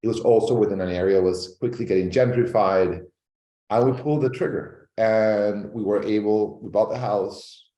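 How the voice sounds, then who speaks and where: A man tells his story in a fast, animated interview. His voice is very low and mellow. It sounds like he is in a roomy, echoing space. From Venezuela. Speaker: real estate agent guest. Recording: echoey room.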